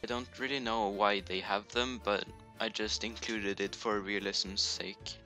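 A man's voice: lowish voice, fairly even intonation, a little slow, bright, forceful tone, faint music behind. A man with a Norwegian accent, speaking English as a second language, giving a casual voice-over for a screen recording of his video game build, with joking self-deprecating asides over poor home-mic audio. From Norway. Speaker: YouTuber. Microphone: home computer mic, poor audio.

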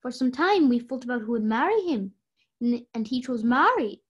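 A woman's voice: on the high side, expressive, normal speed, slightly rough. A young woman playing an upset, hesitant, defensive party guest in an amateur radio play. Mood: wistful, defensive. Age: young adult.

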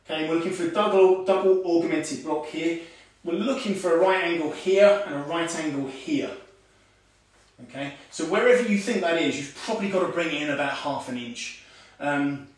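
A karate instructor talks through his moves at home, higher voice, with lots of "okay"s as he explains. The voice comes from a camera set well back across a bare room, so it rings with echo under a faint hiss. He sounds like a man in his forties. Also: normal speed. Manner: talks while demonstrating moves, calls out commands; many "okay"s and fillers, relaxed teaching tone. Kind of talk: online martial arts lesson. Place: home room with tiled floor. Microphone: far camera on a stand. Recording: echoey room, voice from far off.

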